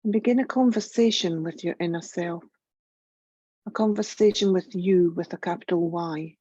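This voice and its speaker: A woman guiding a yoga relaxation meditation in a gentle, soothing, measured way, leaving long pauses. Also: lowish voice, no room sound, lo-fi sound. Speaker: yoga nidra meditation guide.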